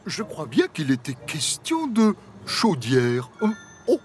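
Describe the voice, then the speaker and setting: Forgetful cheery cartoon diesel engine; high, lively, unhurried chuckler. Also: male voice, heavily acted. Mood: cheerful, muddled. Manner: chuckling, scatterbrained, sing-song. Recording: cartoon soundtrack with music behind.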